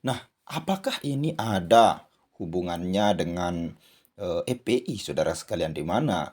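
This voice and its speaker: A man hosting his channel, giving a spoken commentary with a hoarse voice and many hesitant fillers. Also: sits low, lively intonation, moderate pace, a little mellow. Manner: addresses viewers as "saudara sekalian", frequent "eh" fillers. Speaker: channel host. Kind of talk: commentary monologue.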